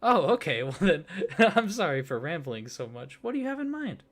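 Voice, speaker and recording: A man doing a soft-spoken ASMR roleplay, hesitant and flustered, with many fillers, restarts and awkward apologies. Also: fairly high pitch, sing-song, normal speed.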